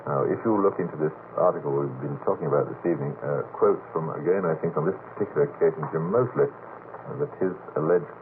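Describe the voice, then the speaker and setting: A man's voice: extremely low pitch, fast. A middle-aged man on a late-night radio panel, putting questions in a hesitant, courteous and wordy way. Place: radio station studio. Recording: noisy narrowband vintage radio tape.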